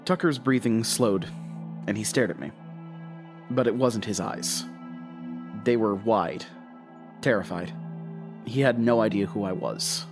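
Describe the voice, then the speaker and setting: Low-voiced, weary, anxious man. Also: unhurried, pauses often, music in the background. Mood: grim, tense. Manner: hesitant, stammering in dialogue. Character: weary, anxious man coaxing answers while narrating his ordeal. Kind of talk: horror audio drama acting with first-person narration.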